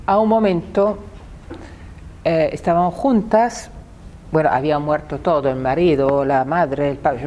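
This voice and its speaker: Italian-accented woman speaker in her sixties, lower voice, halting delivery, faint hiss behind. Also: mellow. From Italy. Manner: halting, repeats words. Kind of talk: public talk.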